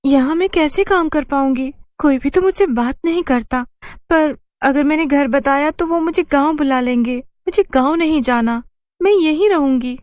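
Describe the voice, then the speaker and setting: A woman's voice: high-pitched, normal speed, darkish tone, no room sound, pitched above the performer's own voice, close to an everyday voice. A woman voicing a shy, earnest young village woman in a cartoon story. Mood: worried. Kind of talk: Hindi horror cartoon character voice.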